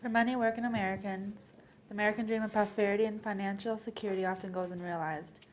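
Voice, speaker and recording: A woman's voice: mid-range voice, steady tone, fast talker, poor audio. A young woman student presenter reading scripted readers theater narration. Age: young adult. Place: indoor college library event. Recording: faint background room noise.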